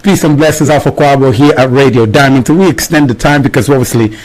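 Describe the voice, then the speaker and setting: A man's voice: medium pitch, fast, darkish tone, rough recording. A man with a mid-range voice hosts a live radio interview. He is eager and stumbles often, repeating words before getting his question out. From Angola or Congo. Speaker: radio host.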